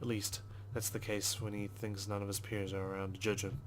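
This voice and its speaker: A man reading visual novel text aloud as a let's player, in a measured, understated storytelling manner with brief asides. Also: on the low side, little pitch movement, a little hiss or hum. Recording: music behind the voice. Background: game music.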